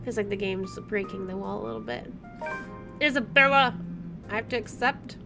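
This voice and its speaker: A woman's voice: mid-range voice, moderate pace, over music. A woman hosting a casual game playthrough, chatty and playful with wry asides as she comments and reads aloud. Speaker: gaming video host. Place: indoors at home, air conditioner running. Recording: clear background air conditioner noise.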